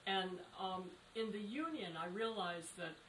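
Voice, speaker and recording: A woman's voice: medium pitch, unhurried, fairly bright tone. A woman in her sixties speaking as a labor organizer on a panel, giving a personal account to an audience. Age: 60s. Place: roomy indoor public panel event. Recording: echoey, distant, noisy live event stream.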